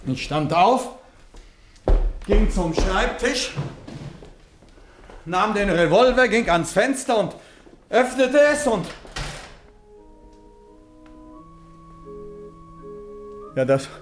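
An actor playing a distraught, nerve-frayed gentleman who has killed, speaking in a halting, agitated, dramatic way in a radio drama. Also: sits high, very animated, a little hiss or hum, full character voice. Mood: tormented. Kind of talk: German radio drama acting. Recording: roomy studio sound with music.

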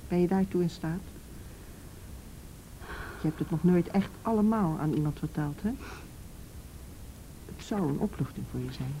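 A woman playing a calm, middle-aged counsellor in a radio drama, patient, direct and matter-of-fact. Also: fairly low pitch, normal speed, gravelly, long pauses, a little hiss or hum, rough recording. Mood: gentle, probing. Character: calm, firm female counsellor questioning a girl. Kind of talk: naturalistic radio drama acting.

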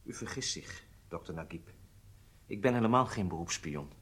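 A man's voice: sits low, animated, normal speed, a bit bright, breathy edge, full character voice. An actor playing a guarded male prisoner accused of spying, stiff and terse in a radio drama. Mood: guarded, denying. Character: guarded German prisoner accused of being a spy.

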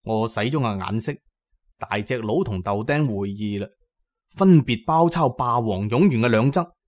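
A man with a Cantonese accent narrates an adventure audio drama in an expressive, suspenseful storytelling style. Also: medium pitch, moderate pace, muffled recording. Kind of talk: dramatic scripted storytelling in the first person.

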